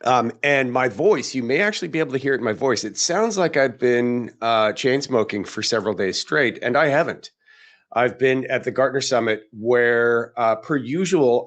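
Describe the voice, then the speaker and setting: A man hosts a live podcast in a loose, chatty way. His voice is hoarse and raspy from days of talking, yet it bounces with lively swings in pitch. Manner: chatty, upbeat, greeting viewers. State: hoarse, tired voice. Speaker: podcast host.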